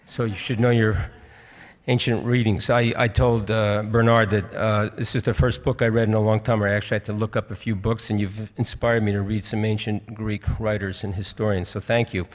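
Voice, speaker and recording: An older American man moderates a live stage interview, speaking earnestly in a halting, rambling way. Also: lowish voice, flat delivery. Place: auditorium stage. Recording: hall reverb on a stage.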